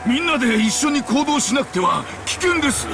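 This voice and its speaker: An actor playing a cautious older gentleman, polite and urgently pleading, in an anime visual novel. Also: very high-pitched, very animated, very fast, over music, exaggerated voice. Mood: urgent, worried.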